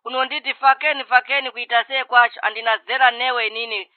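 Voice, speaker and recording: An extremely high-pitched, cranky uncle from a comedy cartoon, acted by a man. He scolds excitably and repeats himself, quick to take offence. The sound is close and dry but somewhat lo-fi. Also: dry recording. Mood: indignant scolding.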